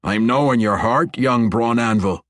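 A man voicing a gruff old male dwarf king who speaks bluntly in a folksy dwarven brogue. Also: mid-range voice, unhurried, dry recording, studio quality, pitched above the performer's own voice, natural voice. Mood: probing.

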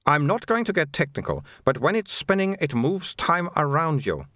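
A male narrator acts out a placid, talkative monk from a storybook. His voice sits on the high side and swoops gently as he soothes and teases. The sound is close and dry. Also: sing-song, normal speed, no echo. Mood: explaining, amused. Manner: casual, soothing, lightly teasing explanations. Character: calm, chatty, placating monk guide, older man. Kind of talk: audiobook character dialogue.

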